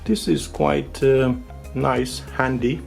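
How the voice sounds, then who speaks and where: A man whose first language is Romanian walks through a product in an informal, unscripted way. His mid-pitched voice has noticeable fry, and he often pauses on fillers. Faint guitar music plays behind him. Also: steady tone, darkish tone. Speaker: tech reviewer. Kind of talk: casual unscripted product overview commentary.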